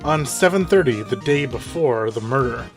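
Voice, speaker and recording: A man's voice: mid-range voice, animated, normal speed, natural voice. Man playing a pompous courtroom prosecutor. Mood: formal. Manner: pompous, formal. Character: smug, formal male prosecutor in a courtroom game. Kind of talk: voiced visual novel read-through on a game stream. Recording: faint music behind the voice.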